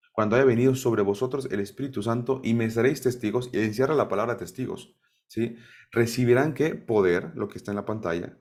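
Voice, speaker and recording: Low-pitched male Bible teacher, questions the room, keeps checking in. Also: darkish tone. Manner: asks the audience questions and checks in with "¿sí?". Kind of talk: sermon-style Bible teaching.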